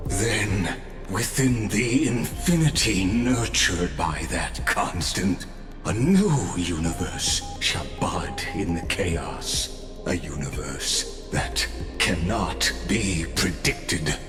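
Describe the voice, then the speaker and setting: An actor playing a coldly fanatical ancient genius villain in a video game, delivering solemn, pompous, deliberate monologues. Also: male voice, deep voice, expressive. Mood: grandiose, fanatical. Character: grandiose ancient male genius villain, a machine-bound mind, coldly fanatical. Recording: echoing voice over prominent music.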